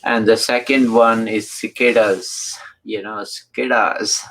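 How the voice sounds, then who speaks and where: A man hosting an interview, speaking haltingly with many fillers. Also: lowish voice, little pitch movement, a bit bright, poor audio. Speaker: interview host. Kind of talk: casual interview chat.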